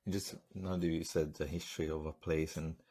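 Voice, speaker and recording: A man with a very deep, low voice. He hosts a podcast interview and tells stories in a relaxed, rambling way, full of fillers and restarts. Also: a little fast. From Slovakia. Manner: rambling, storytelling with many fillers. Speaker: podcast host. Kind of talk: casual interview chat.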